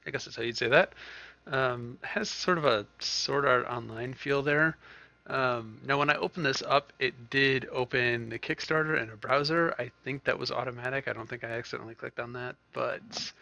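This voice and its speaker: A man's voice: medium pitch, moderate pace, gravelly, pushed and bright. A man giving casual commentary as host of a gaming video.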